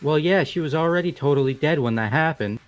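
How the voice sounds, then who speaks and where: A man's voice: a little high, brisk, faint music behind, natural voice. A man voicing a casual, blunt, sarcastic young man in a fan-voiced game. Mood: curious. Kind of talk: fan-voiced visual novel game acting.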